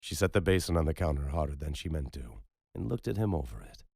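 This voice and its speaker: A man narrating a story in a measured, unhurried storytelling manner. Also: extremely low pitch, big pitch swings, creaky, warm tone, slightly rough, dry recording, studio quality. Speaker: audiobook narrator. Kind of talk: scripted narration.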